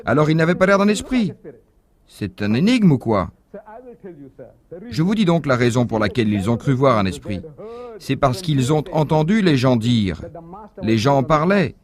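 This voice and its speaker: A man giving a measured, didactic religious lecture as a voice-over, posing rhetorical questions to the audience. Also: mid-range voice, very animated. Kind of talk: dubbed lecture, explaining a point.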